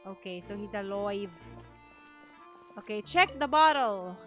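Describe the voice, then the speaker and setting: A woman's voice: medium pitch, lively intonation, unhurried, a bit bright. A woman who speaks Filipino natively, reacting casually as she live streams a game. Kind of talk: casual reactions during gameplay. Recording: faint game music behind. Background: game music.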